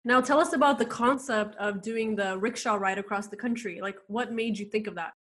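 A woman's voice: mid-range voice, fairly quick, a bit bright. An American woman co-hosting an interview, talking casually and eagerly asking the guest questions. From United States. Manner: eager, talkative, asks questions. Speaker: co-host.

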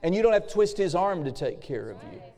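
Slightly hoarse man preaching in a big hall. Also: sits high, big pitch swings, fast talker, dark tone. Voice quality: a little raspy. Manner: fervent preaching with frequent amens. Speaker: pastor. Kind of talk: sermon. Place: church sanctuary. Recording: long hall reverb.

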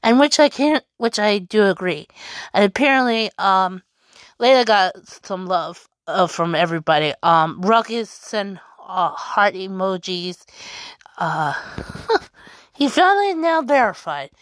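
A woman hosts a fan podcast in a loose, casual chat, stumbling through fillers and restarts. Her voice sits at a middling pitch. The sound is dry, with no room around it. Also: lively intonation, dry recording. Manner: halting, filler-heavy, chatty. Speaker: wrestling podcast host. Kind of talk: casual chat reading news and tweets.

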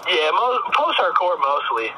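A man's voice: a little high, big pitch swings, unhurried, sharp tone, a little hiss or hum, poor audio. A young American man from Michigan chatting casually as an interview guest, laid-back, slangy and loose in his phrasing. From Michigan, United States. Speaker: musician being interviewed. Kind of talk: casual chat.

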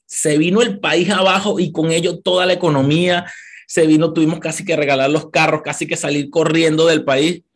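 A man's voice: fairly even intonation, brisk. A man in his thirties with a Venezuelan accent, giving an emphatic motivational talk to an audience. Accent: Venezuelan Spanish. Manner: emphatic, rising to high passionate peaks; repeats words, talks straight to the listener. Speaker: motivational speaker.

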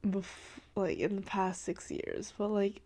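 A woman's voice: mid-range voice, slow, mellow. Young woman podcasting from her room, a bit stuffy-nosed, rambles and giggles, says like every other word. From Washington state, US. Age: young adult. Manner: rambling, full of "like" and "literally", with giggles. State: congested from allergies. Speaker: podcast host. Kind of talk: casual chat. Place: her dark bedroom at night.

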